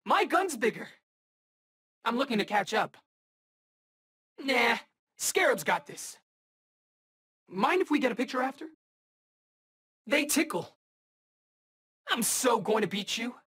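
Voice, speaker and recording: An actor playing a cocky, eager young rookie superhero in a video game, bantering in casual, wisecracking slang. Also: male voice, extremely high pitch, sing-song, slow pace, long pauses, pushed and bright, slightly rough, exaggerated voice. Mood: cocky, teasing. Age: young adult.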